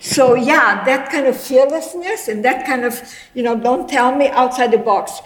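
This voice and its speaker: A woman in her eighties whose first language is German, telling her life story to an audience in a rambling, lively way with many restarts. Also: high voice, moderate pace, reverberant, low-quality recording. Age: 80s. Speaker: guest speaker.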